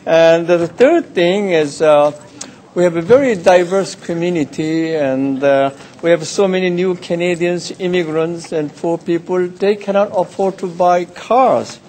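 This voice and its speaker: An older man from South Korea, a city councillor giving a measured, halting nomination speech. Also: high-pitched, a little mellow, a little hiss or hum. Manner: measured, halting, many "uh" pauses. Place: council chamber.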